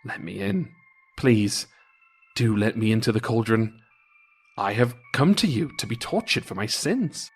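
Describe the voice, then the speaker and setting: A man's voice: lowish voice, expressive, unhurried, breathy edge, exaggerated voice. A man voicing a stubborn, sinful soldier in a storybook folk tale, pleading and bargaining.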